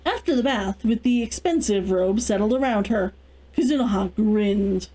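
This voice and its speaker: Female read-along host, lively intonation, soft-edged tone, storytelling and casual chat. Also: mid-range voice, moderate pace, slightly rough.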